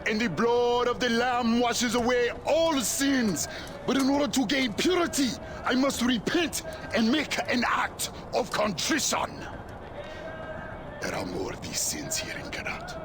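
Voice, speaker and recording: An actor playing a fervent male ex-warlord turned preacher, booming and scripture-quoting, in a video game. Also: big pitch swings, a little slow, over music, heavily acted. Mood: preaching.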